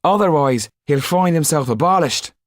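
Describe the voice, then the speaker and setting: A man's voice: a little high, expressive, normal speed, no room sound, clean studio sound, voice pushed up, natural voice. A man voicing a blunt, scornful, outspoken male chef in an audiobook. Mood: defiant.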